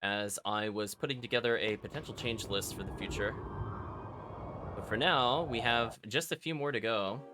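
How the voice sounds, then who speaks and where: A man's voice: fairly low pitch, moderate pace. A man live-streaming a video game, thinking aloud and chatting to his viewers as he plays. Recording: music playing behind the voice. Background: video game music.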